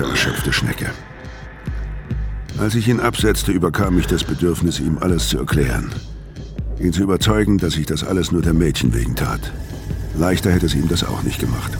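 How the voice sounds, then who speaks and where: An actor playing a grim, laconic hitman who narrates a noir radio drama in a terse, matter-of-fact way. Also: extremely low pitch. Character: grim, laconic tall male hitman narrating his crimes.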